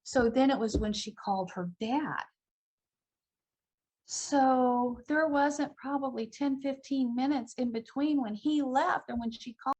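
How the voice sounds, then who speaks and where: A woman's voice: high-pitched, measured pace, darkish tone, husky, low-quality recording. A middle-aged or older woman recalling events conversationally in a news interview. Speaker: interviewee, relative of a victim.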